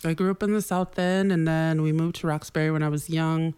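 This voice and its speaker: Woman from boston, guest on a chat show, smooth clear voice, rambling animated stories. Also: deep voice, a little fast. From Boston, Massachusetts. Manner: animated storyteller, many "like" fillers. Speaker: podcast guest. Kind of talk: casual chat.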